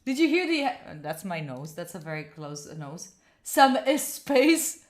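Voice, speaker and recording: A woman's voice: on the low side, big pitch swings, slow. A young woman with an Italian accent chatting casually on a live stream, rambling and giggling with lots of fillers. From Italy. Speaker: study streamer.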